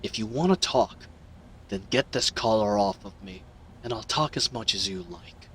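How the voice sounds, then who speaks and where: A man voicing a captive young werewolf hero, gruff, defiant and wounded, in an audio roleplay. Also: on the low side, lively intonation, normal speed, fairly bright tone, big-room sound, full character voice.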